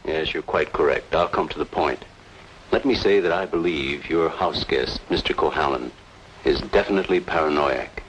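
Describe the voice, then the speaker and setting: An actor playing a grave, formal doctor, deliberate and insistent, in an old-time radio noir drama. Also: male voice, extremely low pitch. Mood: grave, cautioning. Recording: dull, noisy old radio recording.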